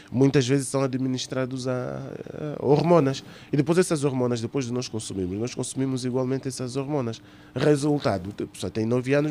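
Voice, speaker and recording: A man's voice: medium pitch, a little mellow. Male doctor explaining, slight background noise. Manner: explanatory, with check-in tags like "né". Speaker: guest doctor, paediatrician. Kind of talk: conversational medical explanation. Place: radio studio.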